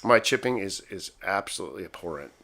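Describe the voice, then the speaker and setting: A man's voice: low-pitched, lively intonation, quick pace, creaky, bright, forceful tone. A man of about fifty chatting casually as a co-host, with a self-deprecating way of talking. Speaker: podcast co-host. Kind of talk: casual chat.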